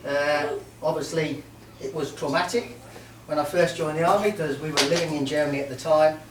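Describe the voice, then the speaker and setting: A man's voice: a little high, steady tone. Best man, middle-aged, from Merseyside; fond, joking, lots of ums; distant mic, reverberant hall, light hiss. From Merseyside, England. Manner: warm, joking, halting with many ums. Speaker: best man giving a wedding speech. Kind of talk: wedding speech. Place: wedding reception room. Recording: roomy hall, mic far off, faint noise.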